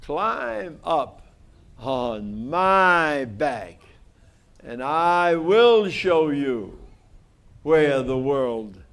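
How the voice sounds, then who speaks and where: An assured whale from a children's storybook, voiced by a man speaks without any doubt. Its high voice moves very slowly and deliberately. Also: big pitch swings, gravelly, fairly bright tone, heavily acted. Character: unhesitating whale calling from the sea.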